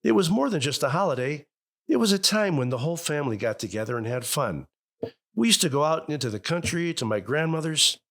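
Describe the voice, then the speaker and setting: Animated, high-voiced family father. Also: brisk. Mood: nostalgic. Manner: blustering, hesitant when sincere. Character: exasperated, sentimental family father, middle-aged husband. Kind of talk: old-time radio family comedy-drama acting.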